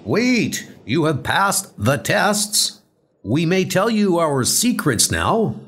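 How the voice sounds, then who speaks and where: A man's voice: a little high, big pitch swings, takes it slowly, a bit bright, a little hiss or hum, voice pushed up, full character voice. A man voicing a solemn otherworldly keeper of secrets with a portentous manner.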